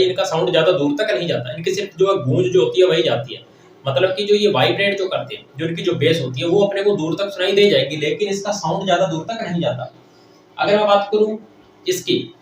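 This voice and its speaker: A man teaches like a classroom lecturer, explaining step by step, repeating phrases and answering his own questions. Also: fairly high pitch. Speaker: technical teacher. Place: echoey indoor room. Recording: very echoey room, distant mic, faint noise.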